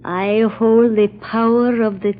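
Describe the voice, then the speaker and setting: An actress playing a proud, threatening mystic villainess with a breathy voice in an old radio thriller. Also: female voice, a little high, fairly even intonation, lightly acted. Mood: proud menace. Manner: slow, intense, threatening. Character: menacing mystic woman villain, proud and defiant. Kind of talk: 1930s-40s radio drama acting. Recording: muffled old radio recording.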